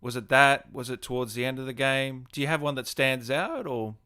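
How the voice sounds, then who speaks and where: Bright-toned male host, casual interviewer. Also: mid-range voice, a little fast, clear, resonant tone. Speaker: gaming channel host and interviewer.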